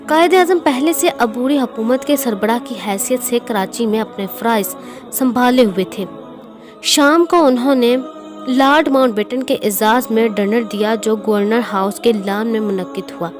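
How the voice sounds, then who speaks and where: A woman narrating a scripted historical speech in a formal, declamatory manner. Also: fairly high pitch. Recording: music behind the voice. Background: clear background music.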